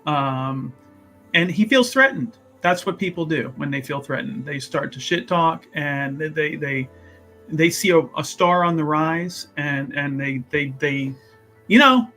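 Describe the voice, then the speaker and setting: A man's voice: fairly high pitch, animated, measured pace, sharp tone, faint music behind, exaggerated voice. A man playing a self-important, zealous scholar in a tabletop game, speaking emphatically and repeating himself as he rallies a devoted student. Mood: boastful, defiant.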